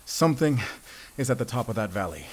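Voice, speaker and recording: A man playing a gruff, guarded but protective werewolf hunter with a German accent, speaking deliberately in a cinematic audio drama. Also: mid-range voice, very animated. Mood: uneasy awe. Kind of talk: cinematic audio roleplay acting. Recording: music behind the voice.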